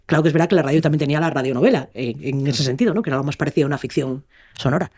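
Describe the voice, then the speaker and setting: Quick higher-voiced male interviewer. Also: darkish tone, husky. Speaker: interviewer, podcast host. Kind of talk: casual interview.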